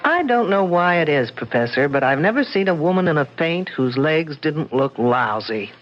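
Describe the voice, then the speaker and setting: A woman's voice: low voice, big pitch swings, moderate pace, soft background music. An actress playing a sardonic woman detective with dry, sarcastic quips in a 1970s radio drama on an old broadcast. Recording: dull, faintly hissy old radio broadcast.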